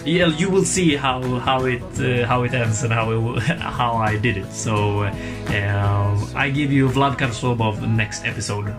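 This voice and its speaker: A man hosting a podcast, introducing the episode in a halting way, often restarting and searching for words. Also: medium pitch, steady tone. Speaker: podcast host. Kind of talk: casual podcast intro and chat. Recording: intro spoken over guitar music.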